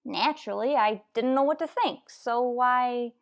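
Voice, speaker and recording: A woman plays a nervous young newsboy in an old-time radio crime drama. The voice is pitched up high, eager and flustered, chattering in folksy street slang. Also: measured pace. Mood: excited.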